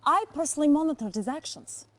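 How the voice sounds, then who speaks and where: An actress playing a cool, assertive Soviet intelligence officer, speaking formally and firmly in a video game cutscene. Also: female voice, sits high, expressive, quick pace. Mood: firm, defiant. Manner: formal, firm, precise.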